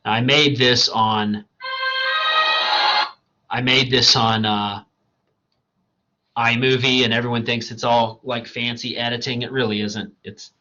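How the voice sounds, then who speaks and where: A man teaching a college writing class in a recorded slideshow lecture, talking in a loose, conversational way, tagging "right?" onto his points, his voice going hoarse. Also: mid-range voice, flat delivery, a little slow, pauses often, pushed and bright, muffled recording, faint music behind. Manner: conversational and digressive, asks "right?" often. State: losing his voice.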